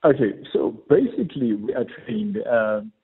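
Male interview guest, South African accent, husky voice, explanatory, peppered with "you know", slightly muffled lo-fi sound. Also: medium pitch, sing-song, measured pace. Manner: frequent "you know" as a verbal habit. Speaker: air traffic controller, interview guest.

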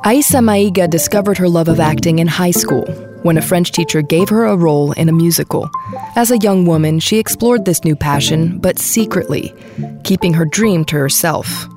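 A woman with a lowish voice reads scripted documentary narration in a measured, even delivery. Clear music plays underneath her words. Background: music bed.

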